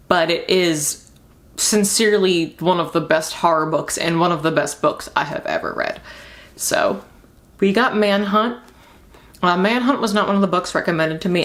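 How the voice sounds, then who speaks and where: Low-pitched young woman, casual review vlogger. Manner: candid, informal, emphatic repetition of words. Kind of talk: casual rambling book review to camera.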